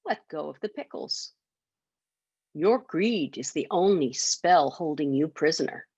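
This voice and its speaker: A woman voicing a clever, calm trickster man with a teasing, unhurried manner in a children's story. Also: low-pitched, slightly rough, no echo, dull audio, voice pushed down, heavily acted. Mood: firm.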